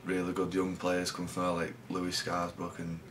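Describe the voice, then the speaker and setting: A young man from England, a rugby league player giving low-key, halting interview answers. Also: completely flat delivery, fairly bright tone, slight background noise.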